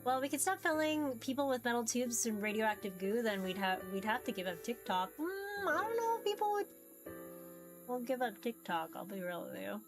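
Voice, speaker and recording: A woman's voice: fairly high pitch, sing-song, moderate pace, over music. A woman chatting casually and playfully as she streams a game, reacting aloud. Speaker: streamer playing a visual novel. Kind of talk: casual gaming stream chat.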